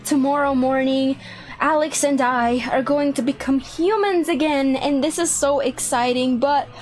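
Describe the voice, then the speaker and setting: A woman's voice: high-pitched, unhurried, a little mellow. A young woman playing a sweet, anxious villain girlfriend in an improvised video game roleplay. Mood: excited, tired. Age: young adult. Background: music.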